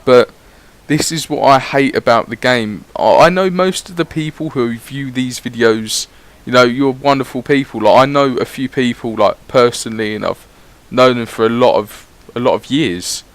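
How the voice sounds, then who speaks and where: A man's voice: mid-range voice, normal speed, hardly any pauses. A man giving casual, rambling voice-over commentary on his gameplay, swearing freely as he chats. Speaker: gaming YouTuber.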